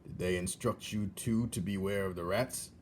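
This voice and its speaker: A man voicing a grumbling, solemn old male jailer in a storybook, who speaks gravely of himself in the third person. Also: sits low, steady tone, normal speed, slight background noise, natural voice. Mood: grave warning.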